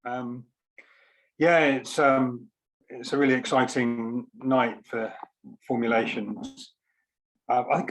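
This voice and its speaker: A man's voice: mid-range voice, rough recording. A man giving an informal talk at an online launch, speaking in a hesitant, reflective way with careful hedging. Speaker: poet and scientist speaking at a book launch. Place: online event.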